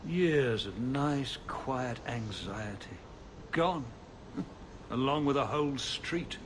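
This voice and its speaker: An actor playing a weary, civil nobleman who rules a troubled city in a video game, measured, formal and dryly ironic. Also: mid-range voice, expressive, noisy background. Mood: weary irony.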